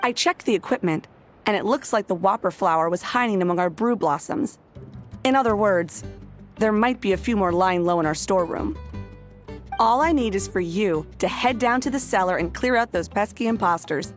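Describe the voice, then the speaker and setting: A woman's voice: mid-range voice, moderate pace, soft background music, lightly acted. A woman voicing a polite, businesslike bar owner in a video game, courteous and composed.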